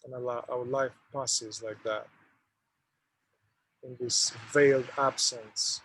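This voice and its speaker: Man, meditation guide, slow halting delivery, long pauses, level intonation, medium pitch. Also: fairly even intonation, a bit bright, slight background noise, rough recording.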